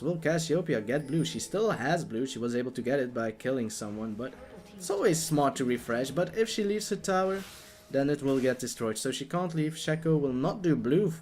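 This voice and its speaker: A young man with a Dutch accent giving excitable, jokey live commentary on a video game, talking to the players on screen. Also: medium pitch.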